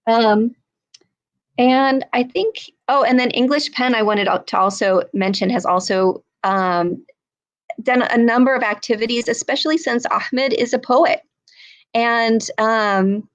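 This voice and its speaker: A woman giving an earnest, halting talk over a video call, with many fillers and restarts. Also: on the high side, highs cut off. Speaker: speaker at an online human rights event.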